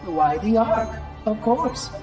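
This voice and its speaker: A man's voice: high-pitched, sing-song, takes it slowly, distant mic, over music, heavily acted. A man voicing a fussy ancient robot caretaker in a video game, prim, precise and blithely detached, with robotic processing. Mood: prim condescension. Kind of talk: video game cutscene acting.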